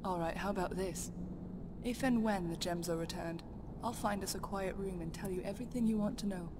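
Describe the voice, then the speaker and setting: A woman voicing a composed, long-lived High Elf leader in a video game, speaking formally and with measured care. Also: lightly acted. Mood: wistful, guarded. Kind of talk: video game character dialogue. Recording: clear background game ambience noise.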